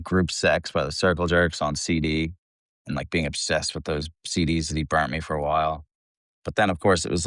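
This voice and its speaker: A man's voice: low-pitched, a bit bright, no room sound, clean studio sound. A man from the United States chatting casually as an interview guest, rambling and informal, with salty language. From United States, Philadelphia area. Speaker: metal band vocalist, interview guest. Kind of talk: casual chat.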